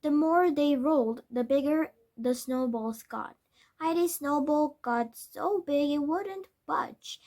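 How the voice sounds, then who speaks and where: A woman narrates a children's storybook in a high-pitched voice. Her pace is slow and halting, and she stumbles over words and corrects them as she goes. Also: a little mellow. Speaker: read-aloud storyteller. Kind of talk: scripted children's book narration.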